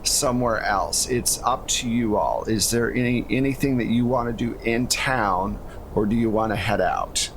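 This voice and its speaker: A man running a tabletop game as game master, narrating casually and explaining things conversationally. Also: moderate pace. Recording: steady low rumble and hum behind voice.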